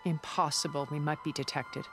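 An actress playing a cool, aloof, imperious woman in a cinematic video game, measured and condescending. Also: low voice, steady tone, a bit bright, faint music behind. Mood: cold, grave.

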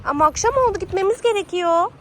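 Woman, playful mum talking to a young child, sing-song and very high-pitched, casual, recorded outside with some ambient noise. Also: fast, fairly bright tone. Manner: coaxing, sing-song child-directed speech. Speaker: mother playing with her young child. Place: outdoor playground. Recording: noisy outdoor recording with background ambience.

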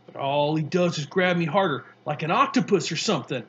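A man's voice: on the high side, a little fast, muffled recording, slight background noise, close to an everyday voice. A man voicing a brash, streetwise young boy who talks in slangy, boastful bursts. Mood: excited. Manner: slangy, boastful, excitable storytelling.

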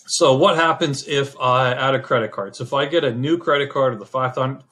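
A middle-aged man hosting a casual screen-share walkthrough, talking in a relaxed, rambling way and correcting himself as he goes. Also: medium pitch, a little fast. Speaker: channel host explaining credit scores.

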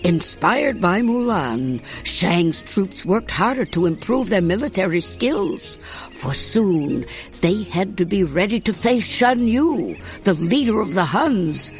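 Slow, expressive storytelling grandmother. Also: mid-range voice, soft background music. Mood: fond, dramatic. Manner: expressive, measured. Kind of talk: scripted storybook narration.

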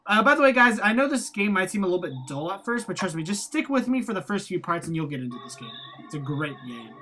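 Bright chatty let's-play voice. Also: mid-range voice, sing-song, quick pace. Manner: rambling, chatty, reads game text aloud. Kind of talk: casual gameplay commentary. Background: faint game music.

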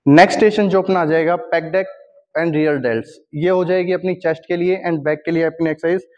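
A man's voice: a little high, fairly even intonation, normal speed. A man with an Indian accent, a gym equipment seller, walking through a product demo in his showroom, explaining each station while switching between Hindi and English terms. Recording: long hall reverb, dull lo-fi sound.

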